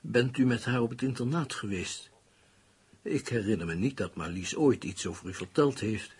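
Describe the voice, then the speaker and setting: An actor playing a grieving middle-aged father in a radio drama, formal and halting. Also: mid-range voice, breathy edge. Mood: courteous, wary. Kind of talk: Dutch radio drama acting.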